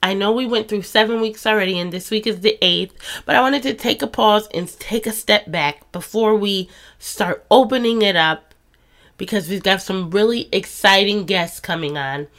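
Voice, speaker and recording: A woman's voice: mid-range voice, moderate pace. A woman in her thirties hosting a faith podcast, talking in a chatty, encouraging way while stuffy from a cold. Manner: warm, chatty and encouraging, with soft laughs. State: congested from a cold. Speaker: podcast host. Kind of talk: casual faith podcast monologue.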